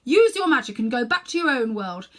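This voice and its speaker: A woman's voice: on the high side, big pitch swings, fairly quick, voice pushed up. Lady doing a scornful tempting witch for a kids book, raised voice, pushy and imperious. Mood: urgent coaxing. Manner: imperious, insistent persuasion. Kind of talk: children's storybook character voice.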